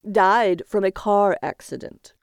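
Female narrator as auctioneer, storybook figure, formal, sarcastic, drawn-out announcing, dry recording. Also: medium pitch, animated, creaky, clear, resonant tone, no echo.

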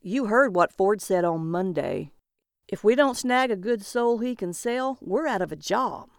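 A woman's voice: mid-range voice, animated, measured pace, no room sound, clean studio sound. A woman voicing a huge, nervous male agent of death in an audiobook, anxious, needling and pleading with his partner.

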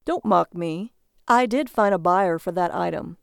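A woman voicing a childish, excitable, defensive husband in a story, protesting earnestly. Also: lowish voice, unhurried, creaky, clean tone, dry recording, studio quality.

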